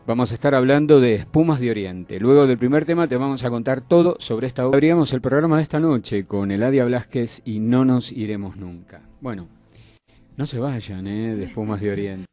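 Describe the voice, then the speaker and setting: A man's voice: mid-range voice, a little fast, mellow. A man with an Argentine accent hosting a radio interview and addressing his guests attentively. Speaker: radio host.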